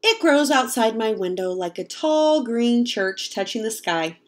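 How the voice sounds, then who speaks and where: A young woman reading a picture book aloud to children in an expressive, explanatory way. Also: fairly high pitch, measured pace. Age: young adult. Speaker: read-aloud storyteller, teacher. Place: home kitchen, indoors.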